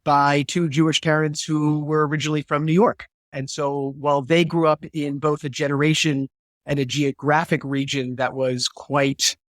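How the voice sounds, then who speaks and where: Man in his fifties, higher voice, dry sound, reflective, restarts phrases, video call. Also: level tone, normal speed, fairly bright tone, dry recording. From New Hampshire, USA. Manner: thoughtful, repeats words as he searches for phrasing. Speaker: guest historian and theologian. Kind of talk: reflective interview answer.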